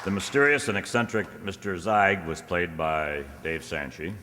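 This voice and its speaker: Deep-voiced male announcer, loud crowd behind. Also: animated, normal speed. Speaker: announcer reading cast credits. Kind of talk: scripted live announcement. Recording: noisy echoey hall, loud crowd behind.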